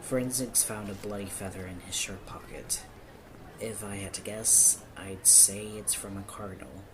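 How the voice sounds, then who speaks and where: Over clearly noisy ambience comes a man acting as a small-town police officer with a lowish voice. He is nervous and awkward, hesitant in how he puts things and quick to apologize, in the style of a one-sided audio roleplay. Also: fairly even intonation, lightly acted. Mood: somber, uneasy.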